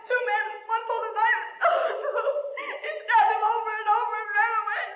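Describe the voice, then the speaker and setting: A woman's voice: very high-pitched, moderate pace. An actress in an old radio crime drama playing a panicked woman crying out as a frantic witness. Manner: panicked, screaming. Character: frantic, shrill female witness to a stabbing. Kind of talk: 1940s-50s radio crime drama acting. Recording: muffled, echoey, noisy old radio recording.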